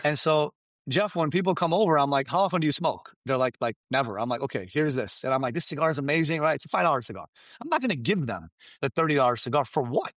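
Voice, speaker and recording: A man whose first language is Farsi coaches his listeners in an emphatic, animated way, constantly checking in with them. His pitch swings widely as he drives his points home, and the sound is dry and close. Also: quick pace, no room sound. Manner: emphatic, animated, keeps asking "right?" and "you understand?". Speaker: guest expert. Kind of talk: energetic business coaching talk.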